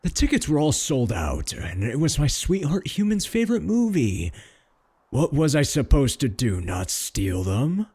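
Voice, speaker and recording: A man's voice: medium pitch, big pitch swings, unhurried, darkish tone, breathy edge, no room sound. A man playing a cocky, doting werewolf in a comedic audio roleplay, mocking and boastful with a drawl. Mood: defensive. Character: cocky, doting male werewolf, taunting romantic rival.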